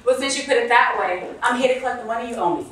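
A woman's voice: on the high side, racing, fairly bright tone, close to an everyday voice. A woman acting a brash, demanding creditor in a stage play. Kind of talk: theatrical stage play dialogue. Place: indoor theatre stage, recorded from afar. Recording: echoey stage, distant mic, faint noise.

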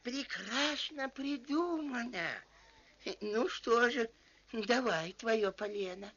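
A man voicing a poor, kindly old organ-grinder in a vintage cartoon, sighing and gently fatherly. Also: very high-pitched, slow pace, exaggerated voice. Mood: polite, pleased. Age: older. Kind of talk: vintage cartoon character acting. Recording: muffled old film soundtrack with music.

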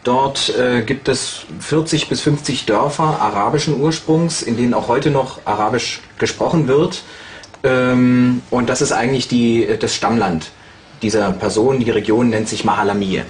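A man's voice: medium pitch, normal speed, slight background noise. A man in his thirties explaining a region of origin in an office, with hesitant fillers. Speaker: expert interviewee.

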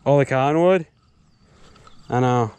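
A young man chatting casually and excitedly in bro-heavy slang while fishing outdoors. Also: mid-range voice, a little slow, clear, resonant tone, dry recording. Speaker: vlogger angler. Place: outdoors beside a pond. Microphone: body-worn action camera.

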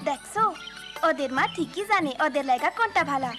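An eager village schoolgirl, with a very high, swooping voice and a rural Bangladeshi accent. She sounds earnest and lively, with music behind her. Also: a little fast. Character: eager, curious village schoolgirl, bright and outspoken. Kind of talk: cartoon character voice.

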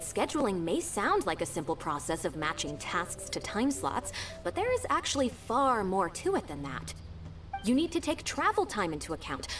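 An actress playing a polite young female secretary in a game, crisp and businesslike. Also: mid-range voice, natural voice. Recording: distant voice with game music behind.

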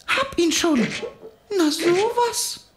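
A woman's voice: extremely high pitch, expressive, drawn-out words, background noise, heavily acted. A woman voicing a small, cheerful, overcautious little boy king in a German children's cartoon, chatty and childlike. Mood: flustered. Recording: music behind the voice.

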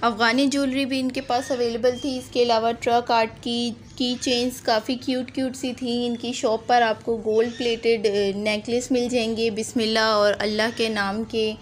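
A woman's voice: on the high side, little pitch movement, normal speed, few pauses. A young adult Pakistani woman narrating a vlog in voice-over. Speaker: vlogger. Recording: clear background noise under narration.

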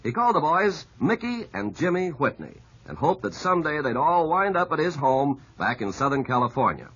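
Bright, husky male radio announcer. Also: fairly high pitch, very animated, moderate pace. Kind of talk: 1950s radio announcing, scripted storytelling. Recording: muffled, husky-sounding old radio recording.

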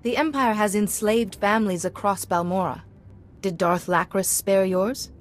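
An actress playing a calm, principled young Jedi in a video game, measured and earnest. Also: female voice, medium pitch, lightly acted. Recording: music playing behind the voice.

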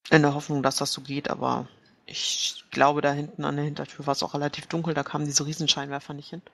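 Male tabletop player, loose rambling planning talk, little pitch movement, gravelly fry. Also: little pitch movement, fast, a bit bright, no room sound. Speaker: player in a tabletop role-playing game. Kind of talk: casual planning talk during a game.

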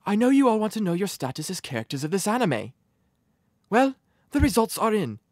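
A man voicing a blunt, deadpan doctor and a teacher in a comic voice audition. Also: high voice, fairly quick, dry recording, studio quality. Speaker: voice actor. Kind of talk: abridged parody voice audition.

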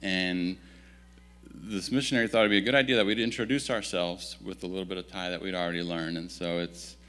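A man in his thirties who grew up in Italy, giving a relaxed, self-deprecating missionary update in a church at a stand mic. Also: low voice, a little fast, faint music behind. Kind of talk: casual storytelling talk to a congregation. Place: church sanctuary, indoors. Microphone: stand microphone.

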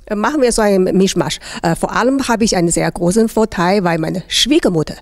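A woman's voice: mid-range voice, fairly quick. A middle-aged woman from China, a native Chinese speaker with a Chinese accent, answering interview questions hesitantly into a handheld microphone. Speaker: interviewee, teacher. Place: large indoor hall.

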